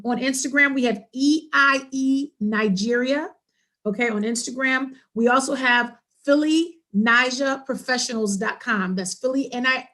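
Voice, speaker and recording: A woman's voice: fairly high pitch, fairly bright tone. A middle-aged woman hosting an online panel discussion from a room at home over a video call. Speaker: panel host. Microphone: computer mic on a video call.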